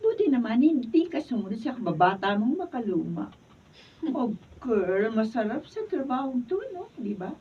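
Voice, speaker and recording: A woman's voice: a little high, sing-song, moderate pace. An actress playing a brash, money-loving young woman egging on a friend in a Filipino radio drama. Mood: breezy, persuasive. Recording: dull, distant room recording, faint noise.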